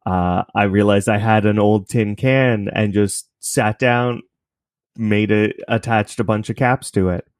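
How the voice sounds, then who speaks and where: A man's voice: fairly low pitch, a little mellow, no echo, studio quality. A man, speaking as a guest in a casual interview, rambling thoughtfully. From Canada. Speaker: interview guest, podcast creator. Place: home basement apartment, at a computer desk.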